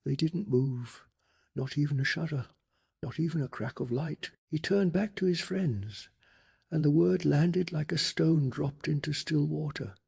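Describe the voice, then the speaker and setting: A man softly narrating a bedtime story in an ASMR style, with a calm, hushed, breathy delivery and some gravel in the voice. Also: fairly high pitch. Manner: slow, calming, hushed delivery. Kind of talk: soft-spoken ASMR storytelling.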